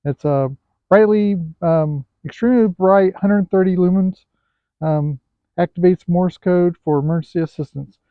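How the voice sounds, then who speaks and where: A man giving a casual, unscripted product review in a hesitant, pausing way as he looks things over. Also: high voice, dry recording. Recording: thin, phone-like, band-limited sound.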